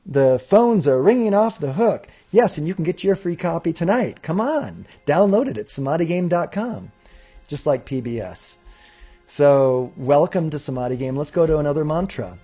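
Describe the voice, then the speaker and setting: Calm male spiritual host, faint music. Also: on the high side, normal speed. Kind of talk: meditative spiritual talk leading chanted affirmations. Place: indoor room with windows in the mountains.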